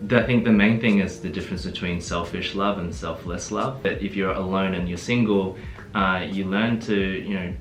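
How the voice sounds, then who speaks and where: A man's voice: sits low, normal speed. A young man from Adelaide with an Australian accent, chatting easily about his relationship with lots of laughs and fillers. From Adelaide, South Australia. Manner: relaxed, laughs often, many fillers. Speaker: guest, host's fiancé. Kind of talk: casual relationship Q&A. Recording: echoey room with background music.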